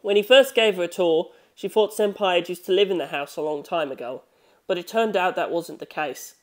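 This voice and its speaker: A man's voice: high voice, fairly quick. A man reading scripted fiction as an audiobook narrator.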